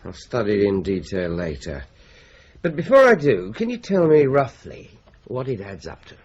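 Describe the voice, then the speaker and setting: An actor playing a calm, courteous police inspector who asks measured, polite questions in a radio drama. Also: male voice, on the low side, slightly rough, exaggerated voice. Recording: dull lo-fi audio.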